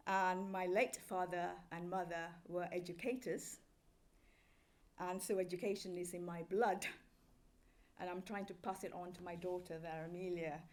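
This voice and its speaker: A middle-aged woman from Malaysia gives a public lecture. Her voice sits a little low for a woman. She speaks graciously, with a light touch and hesitant pauses between phrases. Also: steady tone. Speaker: professor giving an inaugural lecture. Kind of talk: public lecture, semi-scripted. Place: lecture hall with a live audience.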